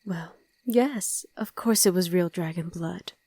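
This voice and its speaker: A woman's voice: lowish voice, warm tone, husky, no room sound, heavily acted. A woman playing a loving, wistful vampire wife in an intimate ASMR roleplay, speaking tenderly and often in a whisper, close to the microphone. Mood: affectionate. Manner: slow, tender, coaxing murmur. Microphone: close, dry microphone.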